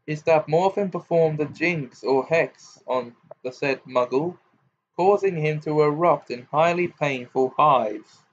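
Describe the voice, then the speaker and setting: A man's voice: fairly high pitch, a little slow, slight background noise, natural voice. A man voicing a formal male official who speaks hastily and then firmly. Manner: hasty, then firm and formal. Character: flustered but dogged male official. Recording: dull lo-fi recording.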